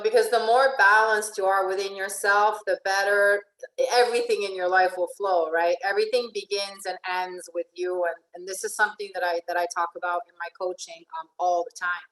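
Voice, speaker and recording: A woman's voice: mid-range voice, a bit bright. Chatty woman coach in an echoey room. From Venezuela. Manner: chatty, often restarts and asks "right?". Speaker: life and relationship coach. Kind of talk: informal online presentation.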